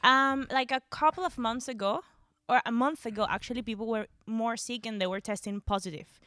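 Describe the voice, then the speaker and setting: A woman from Venezuela co-hosting a podcast in casual chat, playful and teasing, who bursts into loud shrieking laughs. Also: fairly high pitch, brisk, no echo, clean studio sound. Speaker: podcast co-host.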